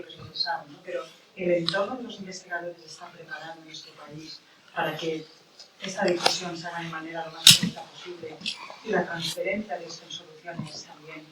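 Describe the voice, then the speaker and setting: A woman moderating a panel discussion, speaking in a measured, thoughtful way with tag questions. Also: deep voice. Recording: echoey room from a distance with noise.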